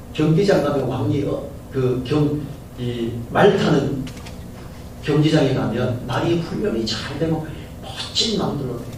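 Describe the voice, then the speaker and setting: Middle-aged male pastor with a slightly high voice, preaching conversationally to the congregation, heard from a distance with faint hiss behind. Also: a little mellow. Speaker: senior pastor. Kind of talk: Sunday sermon. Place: church sanctuary. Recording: very echoey church hall from far off, faint hiss.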